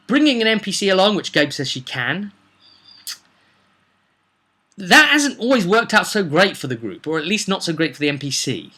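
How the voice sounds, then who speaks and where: A man's voice: mid-range voice, bright, forceful tone. A man chatting casually as a game master, recounting a tabletop roleplay session in a rambling, storytelling way. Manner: chatty, slips into acted quotes of characters.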